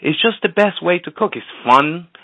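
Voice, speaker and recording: A man's voice: high voice, expressive, moderate pace, a bit bright, dry recording. A man from Venezuela, a chef and restaurant owner, talking earnestly and eagerly as a guest on a phone-in radio interview, often restarting his words. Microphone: phone line. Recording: tinny phone line, dry.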